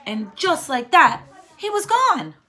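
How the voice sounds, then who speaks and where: A woman's voice: sits high, big pitch swings, slow pace, pushed and bright. A woman reading a story aloud to young children, expressively, often prompting them with questions and counting along. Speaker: teacher reading a picture book aloud to children.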